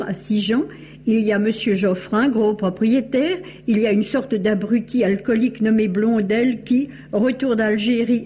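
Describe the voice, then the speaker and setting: A woman giving a scripted book review in a measured, literary storytelling manner, as on 1950s radio. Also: a little high, dark tone. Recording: dull lo-fi old radio recording, hiss.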